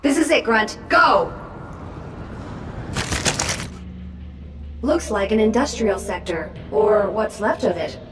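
A woman playing a chatty, cheeky robot drone sidekick in a video game, expressive and breezy in delivery. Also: mid-range voice, normal speed, a crowd in the background, lightly acted. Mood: brisk. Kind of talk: video game character acting. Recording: echoey, distant, over loud music.

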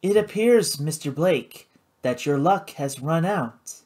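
A man's voice: a little high, lively intonation, a little mellow. A man voicing a poised, icy woman casino boss. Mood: icy, commanding. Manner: poised, measured, formal.

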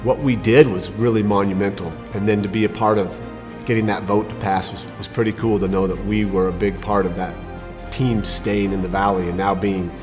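A man's voice: fairly low pitch, steady tone, fairly quick, over music. A man talking in a documentary interview.

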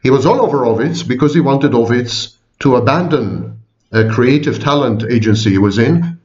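A man's voice: medium pitch, normal speed, a little mellow. A man of sixty, a psychology professor, giving a wry, digressive informal lecture to viewers, often repeating and correcting himself. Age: 60s. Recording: echoey room, dull lo-fi sound.

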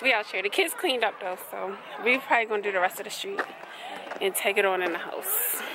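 A young woman vlogging casually to her viewers in a relaxed, chatty way, filmed on a handheld camera. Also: mid-range voice, a little fast, noisy background. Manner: relaxed, chatty, laughs often. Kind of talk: casual vlog chat to viewers. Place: outdoors at night, in a parked car and at a storage unit.

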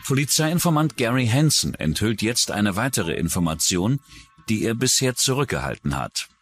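A man's voice: on the low side, big pitch swings, normal speed, faint music behind. A man reading scripted narration for a true-crime documentary.

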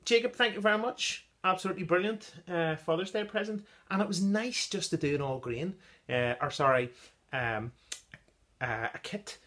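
A man, reviewing his own home-brewed stout in a casual vlog and pausing often on ums as he gives tasting notes. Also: high-pitched, bright, forceful tone. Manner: chatty, halting with many ums, tasting notes. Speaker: home-brew beer reviewer. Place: small indoor room with brewing keg. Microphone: device camera at close range.

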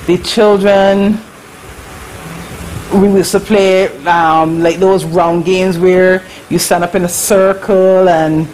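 A Barbadian woman in her forties, remembering her school days in a slow, halting way as an interview guest on a video call from her living room. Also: lowish voice, steady tone, darkish tone, a little hiss or hum, rough recording. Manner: slow, reflective, halting with fillers. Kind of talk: casual recollection. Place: living room at home, indoors. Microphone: webcam on a video call.

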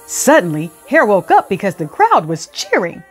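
A middle-aged woman hosting storytime, reading aloud to children in an expressive, deliberate, teacherly way. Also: mid-range voice, faint music behind. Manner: animated, deliberate teacherly delivery. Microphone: lapel mic.

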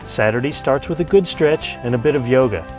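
A man's voice: mid-range voice, expressive, fairly quick, darkish tone. A middle-aged man reading scripted promotional narration as a spokesman for a community. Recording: music behind the voice.

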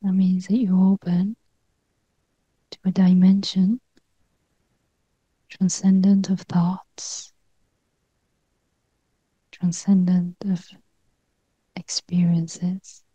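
A woman leading a guided meditation in a gentle near-whisper, with short phrases and long pauses. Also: lowish voice, little pitch movement, husky, no echo, rough recording.

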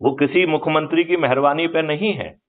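A man's voice: fairly high pitch, a little fast. Older man lecturing over a phone line. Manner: emphatic, lecturing, seeking agreement with rhetorical questions. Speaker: activist, retired police officer. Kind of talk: persuasive political talk on a phone call. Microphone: phone call. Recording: muffled narrow-band phone call recording.

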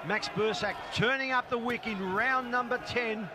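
High-voiced excitable Australian boxing commentator. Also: male voice, moderate pace. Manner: excitable, exclamatory, chatty with viewers. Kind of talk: live blow-by-blow commentary. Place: ringside at a boxing event. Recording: crowd noise and music behind.

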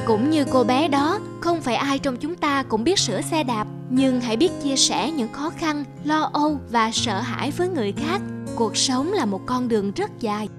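Casting: woman as patient young cartoon mother, high soothing voice, gently instructive, music underneath. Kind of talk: cartoon voice acting.

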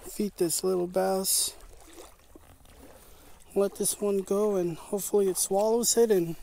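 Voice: medium pitch, steady tone, measured pace, dark tone. A fishing vlogger giving casual commentary in short, plain remarks to viewers, recorded on an action camera outdoors by a lake. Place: outdoors on an open lake shore. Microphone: chest or head-mounted action camera. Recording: outdoor action camera with clear ambient noise.

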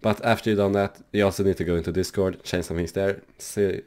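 A man hosting a casual, loose software tutorial for his gaming channel, rambling with lots of fillers. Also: low-pitched, steady tone, fairly quick, clear, resonant tone.

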